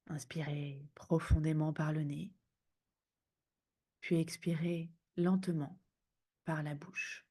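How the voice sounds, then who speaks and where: A woman guiding a sleep meditation in a soothing, hushed manner, speaking in unhurried phrases with pauses. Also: low-pitched, little pitch movement, breathy edge. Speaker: yoga nidra guide.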